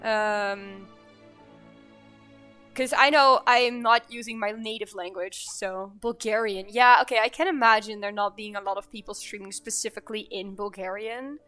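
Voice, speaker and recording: A woman's voice: medium pitch, steady tone, normal speed, sharp tone, faint music behind. A woman streaming a game, chatting casually and laughing with her viewers. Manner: chatty, laughing, talks to chat. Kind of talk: casual live-stream chat.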